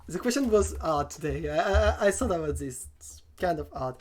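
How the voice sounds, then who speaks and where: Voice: medium pitch, expressive, moderate pace, darkish tone. A talk show host interviewing guests casually, speaking English with hesitant, non-native phrasing.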